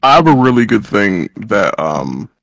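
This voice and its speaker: A man's voice: fairly low pitch, sing-song, unhurried, no room sound. Laid-back slangy man, dry sound. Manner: laid-back, slangy, many fillers and swearing. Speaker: YouTuber giving advice. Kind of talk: casual group chat.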